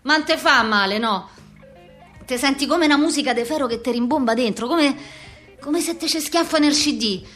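A woman's voice: high-pitched, moderate pace, a bit bright, faint music behind. A woman playing a brash, foul-mouthed young street girl with a Roman accent, in a blunt, agitated theatrical monologue. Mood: agitated, indignant.

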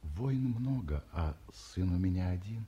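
An actor playing an ageing, distinguished and sentimental scientist, with a husky voice and theatrical 1950s Soviet radio play delivery. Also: male voice, low-pitched, lively intonation, mellow, heavily acted. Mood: reflective. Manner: theatrical, emotional, deliberate.

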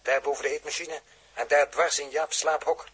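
A man acting a brisk, calming space crewman in a 1960s radio drama, with a matter-of-fact delivery. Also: a little high, husky. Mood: reassuring, brisk.

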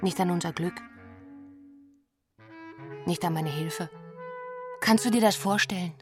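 A woman's voice: lowish voice, measured pace, faint music behind, close to an everyday voice. An actress playing a wistful, intense woman confiding about a lost love in a radio drama, in short theatrical phrases that linger on words. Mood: wistful, bitter.

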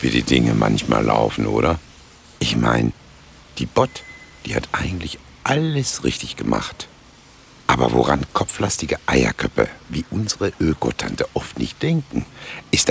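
An actor playing a sly, folksy narrator in a satirical radio play, musing colloquially with smug wry humour. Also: male voice, a bass voice, vocal fry, a little hiss or hum, full character voice.

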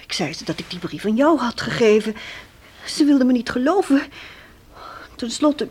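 An actress playing a shaken, frightened woman haltingly recounting an ordeal in a Dutch radio play. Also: fairly high pitch, big pitch swings, a little mellow, slightly rough.

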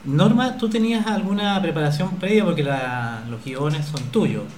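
A man's voice: a little high, animated, normal speed, a little mellow. A man working as an interviewer, putting questions to guests in a conversational interview. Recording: roomy echo with faint background noise.

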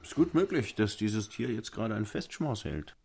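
An actor playing a blunt, disgruntled husband with a husky voice and coarse, bitter sarcasm in a stage-like drama. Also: male voice, lowish voice, expressive, moderate pace, darkish tone. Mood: subdued.

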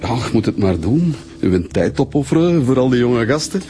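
A man playing a halting, evasive Flemish club man. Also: medium pitch, big pitch swings, dark tone, lightly acted. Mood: uneasy. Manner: hesitant, halting, repeats words. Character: uneasy, evasive male sports club man under questioning. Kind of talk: naturalistic radio crime drama acting.

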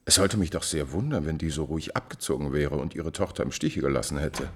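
An actor playing a smooth, calculating marquis and court chamberlain with mocking courtesy in a mid-century radio drama. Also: male voice, extremely low pitch, fast, a bit bright, exaggerated voice. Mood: sly, calculating.

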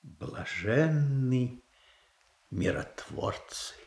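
An actor playing a grave church prelate in a radio tragedy, with ceremonial, drawn-out delivery. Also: male voice, medium pitch, sing-song, creaky, a little mellow, roomy sound, heavily acted. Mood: solemn. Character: solemn male church prelate, grave and conditional. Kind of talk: Russian stage tragedy as radio play.

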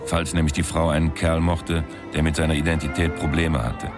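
An actor playing a wisecracking, laconic private sleuth in a radio crime drama, deadpan and sardonic. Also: male voice, a bass voice, brisk. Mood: deadpan narrating. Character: wisecracking deadpan New York private sleuth, self-styled tough guy. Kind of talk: radio crime drama, first-person noir narration and dialogue. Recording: music under the voice.

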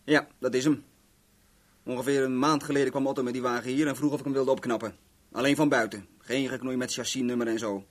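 An actor playing a cocky, talkative young garage owner in a Dutch radio play, rambling and self-assured. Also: male voice, mid-range voice, fairly even intonation, fast talker, natural voice. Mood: frank, offhand.

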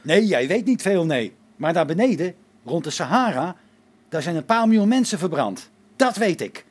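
An actor playing a sarcastic, exasperated space station engineer in a radio play, with a biting manner. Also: male voice, on the high side, big pitch swings, moderate pace, breathy edge. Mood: bitter, accusing.

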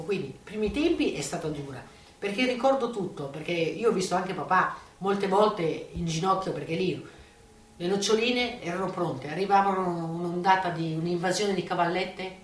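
A woman's voice: lowish voice, moderate pace. An elderly woman recounting personal memories, recorded from a distance in a roomy, noisy setting. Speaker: former colonist giving testimony. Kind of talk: spoken personal recollection.

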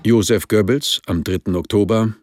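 A man's voice: fairly low pitch, fairly even intonation, normal speed, a little mellow, no room sound. A man reading scripted radio drama announcements and credits in a formal way. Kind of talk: scripted narration.

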